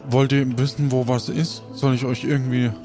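A man's voice: medium pitch, flat delivery, normal speed, warm tone, soft background music. Hesitant man narrating an improvised adventure. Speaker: game master of an improvised audio play. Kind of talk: improvised narration and casual role-play.

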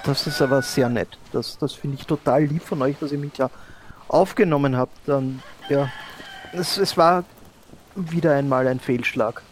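A man's voice: mid-range voice, moderate pace, a little hiss or hum, lightly acted. A man playing a hesitant, grateful shipwrecked seaman in an improvised audio drama, stumbling over and repeating his words. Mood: earnest, grateful. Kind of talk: improvised audio role-play.

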